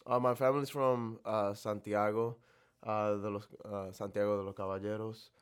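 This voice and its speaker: A man's voice: fairly low pitch, flat delivery, normal speed, smooth. A young man from the Dominican Republic answering interview questions reflectively, with many fillers and restarts. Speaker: interview guest.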